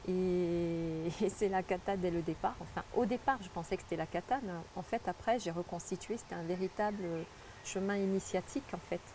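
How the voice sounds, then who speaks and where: Warm-voiced woman from French Guiana. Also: lowish voice, a little fast, slight background noise. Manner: earnest, reflective, many restarts and fillers. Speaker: interview guest, spiritual guide. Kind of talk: personal testimony in conversation.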